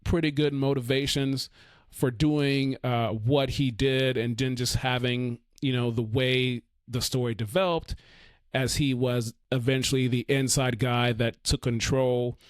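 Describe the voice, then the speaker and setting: A male podcast host talks through a film review in a loose, rambling way, leaning on fillers and restarting his sentences. His voice sits in a middle register and is starting to go hoarse. The sound is dry and close, with no room echo. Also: mid-range voice, little pitch movement, measured pace. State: losing his voice. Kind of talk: casual solo film review.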